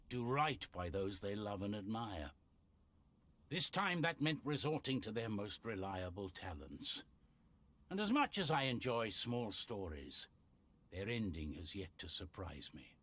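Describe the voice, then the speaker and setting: An actor playing a wry, theatrical storyteller who narrates a fantasy video game. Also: male voice, lowish voice, a bit bright, full character voice. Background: game music.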